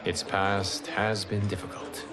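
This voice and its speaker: A man playing a calm, wise guardian mentor in a fantasy video game, explaining in a measured, formal way. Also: fairly low pitch, over music, natural voice. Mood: calm, solemn. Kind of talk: dramatic video game acting.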